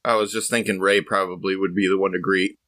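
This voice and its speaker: A man's voice: low-pitched, flat delivery, quick pace, bright, forceful tone, dry recording. A man casually improvising scene descriptions as a tabletop role-playing player, hesitant and searching for words as he describes.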